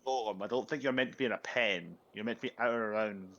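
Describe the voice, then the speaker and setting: A man's voice: on the low side, fast, a bit bright, highs cut off. A British man chatting casually while playing a game with friends, lightly mocking them. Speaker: guest player. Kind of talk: casual gaming chat.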